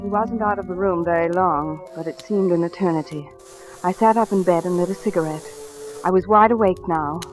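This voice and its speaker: An actress playing an anxious, earnest young wife in a 1940s radio drama. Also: female voice, fairly low pitch, fairly quick, music in the background, close to an everyday voice. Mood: subdued, recounting. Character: anxious young wife protective of her husband, a judge's daughter. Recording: hissy old 1940s radio recording.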